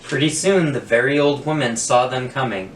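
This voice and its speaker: A man's voice: medium pitch, normal speed. Roomy children's story reader, a man. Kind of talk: expressive children's picture-book read-aloud. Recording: roomy, distant mic, faint noise.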